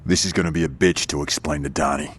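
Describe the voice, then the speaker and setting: A man's voice: very deep voice, steady tone, quick pace, a little hiss or hum. An actor playing a brash, loyal young male gang lieutenant in a video game, talking in blunt street-casual style. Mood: angry, defensive. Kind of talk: video game cutscene acting.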